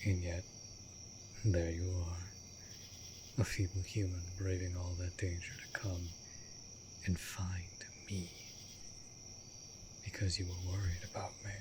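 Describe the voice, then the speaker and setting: A man playing an immortal vampire master, a tender and brooding lonely lover, speaking formally and often breathily in a romantic fantasy audio roleplay. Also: deep voice. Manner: formal archaic wording, slow and deliberate, often dropping to breathy whisper. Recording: steady background noise of night crickets. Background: night insects and crickets.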